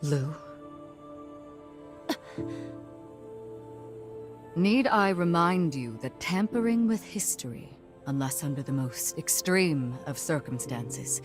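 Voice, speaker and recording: A composed, stern noblewoman from a video game cutscene. Her voice is fairly low, and she speaks with formal authority. Music is clearly heard behind her. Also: animated, unhurried. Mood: stern, admonishing.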